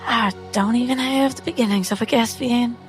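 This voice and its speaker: A woman's voice: on the high side, fairly even intonation, normal speed, faint music behind, voice pushed up, lightly acted. A woman voicing an evasive, apologetic young female adventurer with a hesitant manner in a tabletop game.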